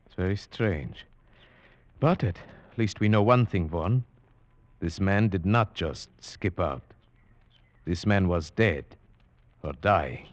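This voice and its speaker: An actor playing a calm, analytical investigator, speaking in a measured, thoughtful way in 1940s radio drama style. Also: male voice, low voice, slight background noise. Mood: grave, puzzled. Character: calm, analytical male investigator narrating his tale. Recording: dull vintage radio recording with music.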